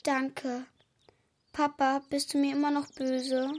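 Earnest young girl, high-voiced. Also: completely flat delivery, slow pace, a little hiss or hum. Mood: hopeful. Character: young daughter Lara, eager, affectionate, slightly anxious child. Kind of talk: German radio play for children.

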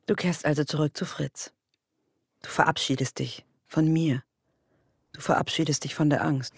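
An actor playing a sardonic, probing male confidant with a gravelly voice in an intimate radio drama. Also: fairly high pitch, steady tone, moderate pace, no room sound, clean studio sound. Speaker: supporting actor.

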